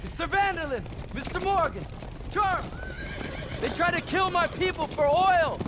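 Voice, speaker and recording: An actor playing a fiery young warrior rallying riders, shouting impassioned declarations in a dramatic video game. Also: male voice, very high voice, lively intonation, moderate pace. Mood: defiant, rallying. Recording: noisy outdoors, horses whinnying.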